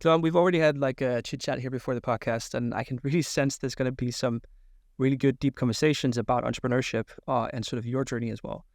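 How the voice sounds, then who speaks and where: A man's voice: medium pitch, fairly even intonation, fast, dry recording, studio quality. A man hosting a podcast interview, upbeat and enthusiastic as he introduces and questions his guest. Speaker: podcast host.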